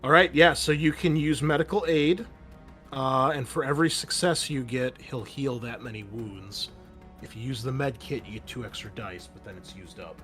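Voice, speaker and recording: A man's voice: mid-range voice, normal speed, fairly bright tone, soft background music. Easygoing male game master. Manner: easygoing, laughs often, many ums and restarts. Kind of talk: running a tabletop game online.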